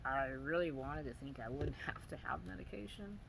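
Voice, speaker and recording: Soft-voiced man with a halting delivery. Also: on the high side, vocal fry. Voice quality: weak, soft voice. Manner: halting, searching for words, many pauses and fillers. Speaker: vlogger. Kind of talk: personal video diary. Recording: audible background noise.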